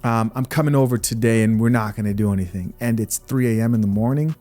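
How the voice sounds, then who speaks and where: A man's voice: fairly low pitch, fast, dark tone, faint music behind. A young man from Zambia hosting a podcast, giving blunt, opinionated commentary straight to his viewers. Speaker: podcast host reacting to clips.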